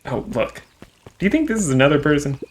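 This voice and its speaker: A man's voice: a little high, sing-song, quick pace, a little hiss or hum. A man hosting a game playthrough in casual, conversational chat. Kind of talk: casual let's play chat, reading game dialogue aloud.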